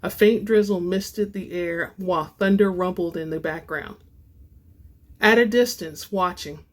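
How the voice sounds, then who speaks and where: A woman hosting a dramatic book reading, moving between informal, expressive chat and narration. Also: lowish voice, measured pace. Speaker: host and reader of book samples.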